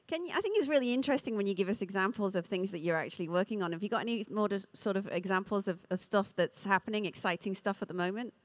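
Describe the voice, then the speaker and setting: A woman's voice: mid-range voice, quick pace, hardly any pauses, dry recording. Woman host, dry handheld mic. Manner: encouraging, short affirmations. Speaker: event host, interviewer. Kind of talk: on-stage interview. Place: large industrial hall.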